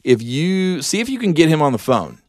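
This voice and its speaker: A man's voice: on the high side, big pitch swings, brisk, darkish tone, dry recording. A man hosting a podcast in a casual, conversational style. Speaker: podcast host. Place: dry podcast studio with a booth.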